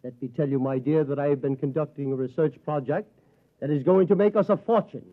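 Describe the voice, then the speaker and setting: An actor playing a tipsy, henpecked husband who pleads and talks with mock grandeur, in a 1950s radio drama. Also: male voice, on the high side, brisk, phone-quality sound, natural voice.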